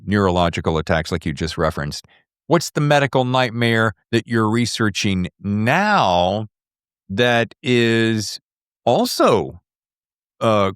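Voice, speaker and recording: A man's voice: on the low side, clear, resonant tone, dry recording, studio quality. A man hosting a podcast interview in a casual, informal and joking way. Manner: jokey, informal. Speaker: podcast host.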